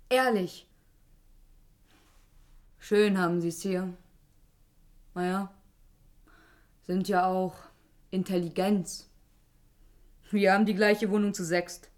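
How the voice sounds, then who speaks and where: An actress playing a self-deprecating young working-class woman in a radio drama, blunt, colloquial and halting. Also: medium pitch, darkish tone, close to an everyday voice. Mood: shy but blunt.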